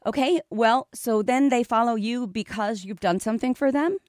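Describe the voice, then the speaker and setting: A woman's voice: a little high, normal speed, darkish tone, dry recording, clean studio sound. A woman hosting a podcast, asking questions and summing up what her guest says. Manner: inquisitive, sums up the guest's points. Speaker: podcast host. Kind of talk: interviewing.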